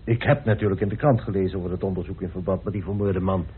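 An actor playing a courteous, grave gentleman telling of a family mystery in a radio play. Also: low voice, fairly even intonation, rapid-fire, a little hiss or hum, natural voice. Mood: polite, curious. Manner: polite, solemn, storytelling. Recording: muffled, band-limited old radio recording.

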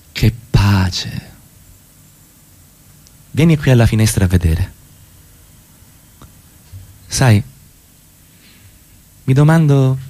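An actor playing an ironic, needling middle-class husband in a radio play, teasing and full of pointed questions. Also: male voice, medium pitch, dark tone. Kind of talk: Italian radio theatre acting.

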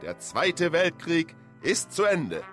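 A man's voice: a little high, big pitch swings, slow. A man reading scripted historical narration as a radio drama's narrator. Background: music with brass.

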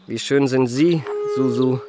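An actor playing a suave, flattering young gentleman in a German radio drama, with polite, teasing, ornately flowery speech. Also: medium pitch, a little slow, natural voice. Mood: smitten, cheeky. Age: young adult.

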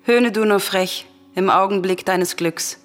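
A woman's voice: medium pitch, measured pace, natural voice. A woman playing a grieving, embittered young princess, lamenting in anguish in a classical tragedy radio play. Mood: defiant. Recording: long hall reverb, music behind.

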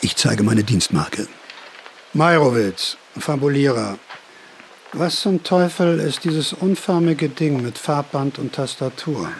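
A man's voice: medium pitch, expressive, slow pace, slight background noise. A man narrating a radio play in the first person, wry, theatrical and incredulous as he plays interviewer and storyteller.

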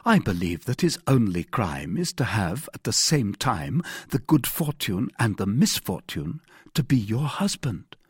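Gallant young guardsman, as a man reads him, husky. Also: lowish voice, big pitch swings, measured pace, no room sound, clean studio sound, full character voice. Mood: courteous. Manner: courtly, formal, earnest. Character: gallant, brave young guardsman, courteous and devoted. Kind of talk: audiobook character voice.

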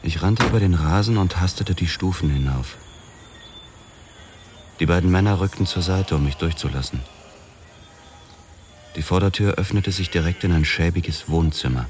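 A man's voice: low voice, normal speed, long pauses, darkish tone, slight background noise, lightly acted. A man narrating as a terse hard-boiled cop. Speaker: first-person narrator, police detective. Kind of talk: narration in German radio drama.

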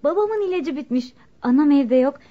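A woman's voice: sits high, expressive, moderate pace. A woman acting a modest, shy and dutiful young girl in a Turkish radio drama. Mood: timid, sad. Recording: muffled lo-fi radio recording.

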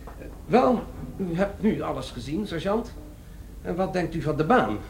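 An officious middle-aged manager with an animated, swooping delivery. He is formal and condescending, in an echoey radio-drama room. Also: on the high side, unhurried, gravelly. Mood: coolly polite. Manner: formal, condescending, dryly polite. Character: officious, dry, sceptical middle-aged male company boss. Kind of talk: comic crime radio play acting. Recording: echoey room, faintly noisy radio recording.

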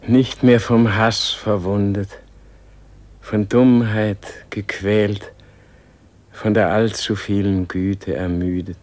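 A man playing a dying, serene Gandhi in a solemn radio play, speaking in a gentle, contemplative, weary manner. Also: lowish voice, flat delivery, takes it slowly.

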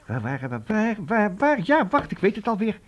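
An actor playing a kindly, absent-minded old professor in a children's radio play, stammering and repeating words. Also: male voice, sits high, sing-song, normal speed, muffled recording. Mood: pondering.

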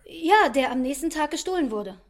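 An innocent young daughter in a radio play. Her voice is high and girlish, with an anxious, questioning manner. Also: lively intonation, normal speed. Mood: puzzled, anxious. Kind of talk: radio drama acting.

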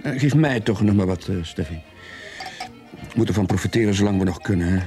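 An actor playing a sly, smooth-talking, easygoing swindler telling his story in a radio drama. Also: fairly low pitch, fast, warm tone, soft background music, natural voice. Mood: genial.

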